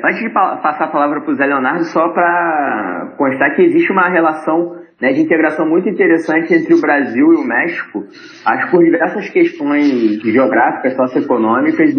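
A man's voice: a little high, moderate pace. Host man in an echoey room. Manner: brief, hosting. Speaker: podcast host. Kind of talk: introducing the guest. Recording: echoey, muffled room sound.

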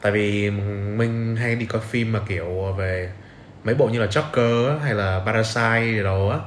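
A man's voice: fairly low pitch, moderate pace. A man hosting a casual conversational podcast, talking informally and often tossing in check-in questions. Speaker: podcast host. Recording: roomy echo, faint background noise.

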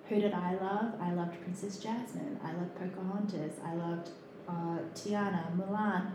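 A woman's voice: fairly low pitch, mellow, a little hiss or hum. A woman from New Zealand, a podcast guest talking casually, with many fillers and restarts. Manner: chatty, lots of fillers and restarts. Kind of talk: casual conversation. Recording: echoey room.

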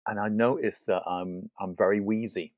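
A man with a deep, low-pitched voice hosts a casual film podcast, teasing his co-host in a playful way. The sound is dry and close, with no room echo. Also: fairly even intonation, normal speed, a little mellow.

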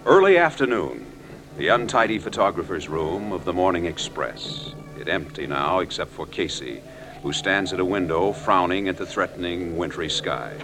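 A man works as announcer and narrator of a vintage radio drama, with music playing behind him. His pitch swings widely as he reads the script in a formal, dramatic style. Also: animated, normal speed.

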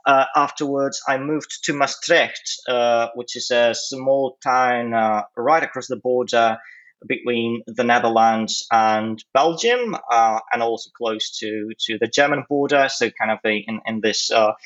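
A man, speaking as an interview guest in a hesitant, measured way with long pauses. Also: medium pitch, fairly bright tone, highs cut off. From Poland. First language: Polish. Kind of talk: conversational analysis in an interview.

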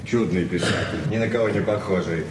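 An actor playing a calm, courteous middle-aged man in a radio drama, with a dry, teasing way of talking. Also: low-pitched, fairly quick, lightly acted. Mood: calm, curious. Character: mature male guest, calm, dryly teasing, courteous. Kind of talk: understated Soviet radio play acting. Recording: echoey, distant, noisy old radio recording.

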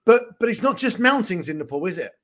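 A man's voice: high voice, sing-song, fast, fairly bright tone. A man hosting a podcast interview, enthusiastic and rambling, often laughing. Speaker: podcast host. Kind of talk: conversational interviewing.

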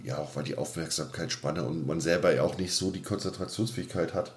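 A man's voice: rumbling low, fast talker, a little hiss or hum. A middle-aged man co-hosting a podcast in loose, casual conversation, repeating words as he thinks aloud. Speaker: podcast co-host. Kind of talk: casual unscripted chat.

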